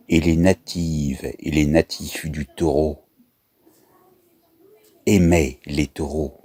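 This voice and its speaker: A deep-voiced man tells wordplay jokes at an unhurried pace. His voice is husky. He keeps chuckling at his own puns. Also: darkish tone. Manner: slow, punning delivery with laughs at his own jokes. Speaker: comedian telling pun jokes. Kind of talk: improvised wordplay monologue.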